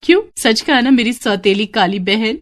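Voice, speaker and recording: A woman plays a vain young stepsister from a bedtime story, gleefully cruel. Her voice sits high and sneers as she taunts, in a close, dry recording. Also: normal speed, no room sound, close to an everyday voice. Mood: mocking.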